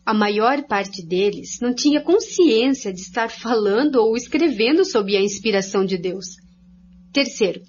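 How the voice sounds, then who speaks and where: A woman's voice: on the high side, darkish tone. A woman reads a scripted religious narration as a series of points. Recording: dull lo-fi audio with faint hum.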